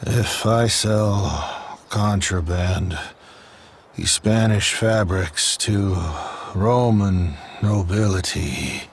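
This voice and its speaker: A man's voice: lowish voice, very slow, vocal fry, a little hiss or hum, heavily acted. An actor playing a greedy merchant in a video game, drawling out schemes as he mutters in his sleep. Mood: dreamy, scheming. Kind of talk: video game cutscene voice acting.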